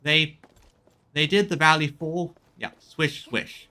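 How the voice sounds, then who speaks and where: A man streaming a game, chatting casually in a halting way, often repeating and rephrasing himself mid-sentence. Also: a little high, steady tone, sharp tone. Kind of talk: casual chat commentary on a game. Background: music in the background.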